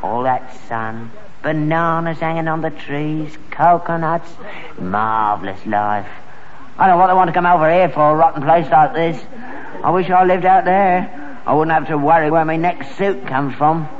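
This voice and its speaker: A man's voice: on the high side, normal speed, slight background noise, close to an everyday voice. An actor playing a stubborn, grubby old London rag-and-bone man and father in a sitcom, grumbling and wheedling in working-class slang. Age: older. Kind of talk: British sitcom acting before an audience. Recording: dull archive recording with studio audience.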